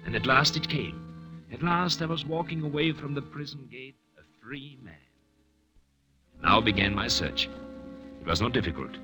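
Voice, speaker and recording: An actor playing a calculating, sinister prisoner who feigns concern, speaking in an articulate, insinuating and hesitant way in old-time radio thriller style. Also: male voice, medium pitch, lively intonation, normal speed, long pauses, music in the background, lightly acted. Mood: coldly narrating.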